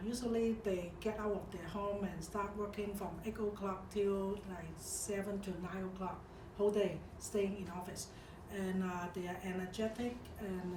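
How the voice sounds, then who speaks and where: A woman from Hong Kong with a Hong Kong accent, speaking in a corporate training talk with plain, simple phrasing and frequent pauses. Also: medium pitch, recorded from a distance. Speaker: company executive. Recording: roomy, from off-mic, with background noise.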